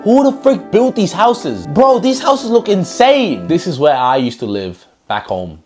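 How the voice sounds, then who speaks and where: Animated young man from Pakistan. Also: a little slow, music in the background. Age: young adult. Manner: animated, jokey, slangy, with swings in pitch. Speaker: vlogger. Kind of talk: casual storytelling.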